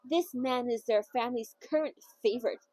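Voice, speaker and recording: A woman's voice: a little high, normal speed, warm tone, lightly acted. Wary suited henchman, read by a woman. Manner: wary, dry. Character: deep-voiced man in a suit, wary henchman.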